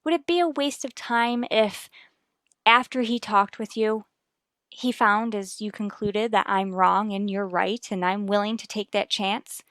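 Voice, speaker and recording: A woman's voice: mid-range voice, measured pace, a bit bright, no room sound, natural voice. Woman playing an earnest, determined radio-soap wife. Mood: earnest, pleading. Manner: firm, reasoning, formal. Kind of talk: radio soap opera acting.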